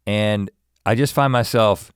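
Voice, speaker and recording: Reflective man in his forties, low voice. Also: clean tone, no room sound, studio quality. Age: 40s. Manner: reflective, musing, halting restarts. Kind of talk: casual chat.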